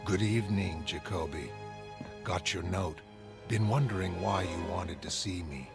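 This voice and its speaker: A paranoid masked vigilante growls in clipped, terse phrases. The voice sits very low and gravelly, with brooding menace. Loud music runs beneath this motion comic performance. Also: male voice, measured pace. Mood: quiet menace.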